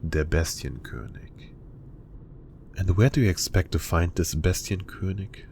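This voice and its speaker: A man acting as a businesslike male intelligence handler who speaks in a crisp, official manner in an audio roleplay. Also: low-pitched, measured pace, a little mellow, a little hiss or hum.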